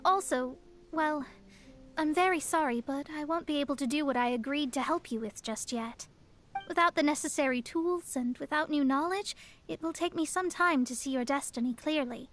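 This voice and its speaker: A woman's voice: high-pitched, normal speed, a bit bright, a little hiss or hum. A woman playing a proud young female astrologer in a video game who is poised but easily flustered.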